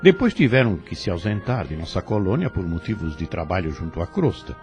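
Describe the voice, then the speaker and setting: A Brazilian man playing a calm, kindly spirit instructor with patient authority and a husky voice in an audio drama. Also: deep voice, a little fast, soft background music. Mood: gentle, informative.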